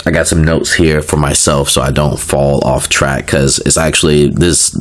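A man's voice: a bass voice, fairly even intonation, moderate pace. A young man getting over a cold, sounding a little nasal, casually explaining video game lore with lots of fillers, asides and self-corrections. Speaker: video creator.

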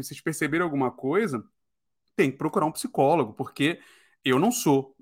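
Brazilian male podcast host, emphatic and opinionated, voice sits a bit high. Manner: opinionated, emphatic, keeps asking "né?". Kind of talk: casual podcast discussion.

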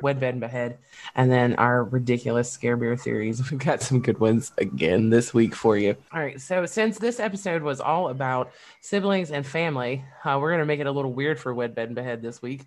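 A woman co-hosting a podcast in a casual, profane, emphatic chat, often breaking into laughs. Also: extremely low pitch. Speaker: podcast co-host.

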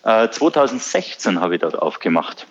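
A Bavarian man with a fairly low voice chats about his work, pausing often and filling gaps with hesitations. The sound is a little dull and lo-fi, like a remote connection. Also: background noise. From Bavaria, Germany. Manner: relaxed, hesitant with many fillers. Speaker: podcast guest, surf school owner. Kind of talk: casual podcast interview.

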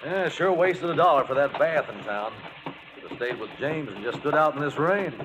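Man playing animated, hearty cowboy brother. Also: a little high, moderate pace, highs cut off, close to an everyday voice. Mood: hearty, teasing. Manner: folksy, hearty. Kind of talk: 1940s radio western acting. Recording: noisy 1940s radio broadcast recording.

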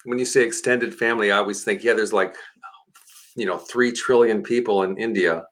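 A man's voice: fairly low pitch, steady tone, fairly quick, a bit bright. An older man hosting a casual conversational interview, chatty and often repeating words as he goes. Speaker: interviewer, show host.

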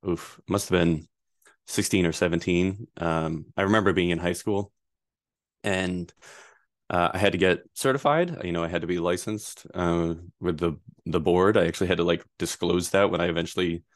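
A man in his thirties from Rhode Island, a guest answering interview questions in a relaxed, rambling way with frequent fillers. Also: low-pitched, normal speed, dry recording, clean studio sound. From Rhode Island, USA. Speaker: guest, privacy attorney.

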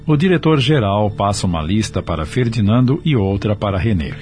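Radio drama narrator, male, lowish voice, scripted announcing with clear music underneath. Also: animated, a little fast, a little mellow.

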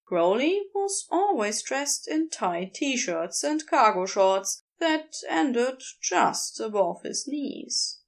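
A woman narrating a written story in an expressive, unhurried storytelling manner. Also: on the high side. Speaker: podfic narrator.

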